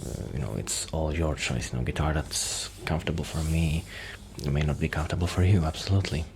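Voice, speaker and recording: A soft-spoken man rambling casually in a shy, hesitant, apologetic way, in the style of an ASMR friend roleplay. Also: a bass voice, fairly quick. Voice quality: soft-spoken, sometimes dropping toward a whisper. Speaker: ASMR roleplay performer playing a musician friend. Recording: clear background noise.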